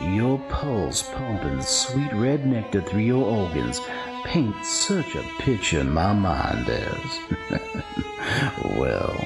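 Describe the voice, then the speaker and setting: An actor playing a sinister old vampiric villain in a dark fantasy audio drama, syrupy, drawling and mock-polite with menace. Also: male voice, lowish voice, vocal fry, full character voice. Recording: echoey, music underneath. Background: music.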